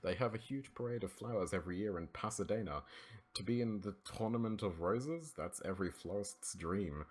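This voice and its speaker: A man's voice: lowish voice, fairly quick. An Australian man doing an informal read-aloud of a script as an amateur narrator, voicing the dialogue himself.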